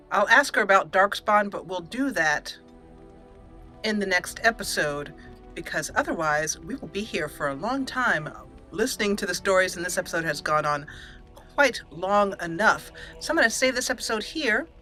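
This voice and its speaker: A woman's voice: medium pitch, bright, forceful tone. A woman hosting a roleplay let's play, chatting expressively and directly to her viewers. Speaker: host of a gaming channel.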